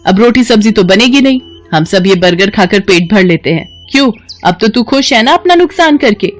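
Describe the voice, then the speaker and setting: A woman's voice: mid-range voice, lively intonation, normal speed, soft background music, voice pushed up, close to an everyday voice. Woman as a scornful nagging older mother-in-law. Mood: angry, sarcastic. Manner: sarcastic, scolding. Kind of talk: cartoon story acting.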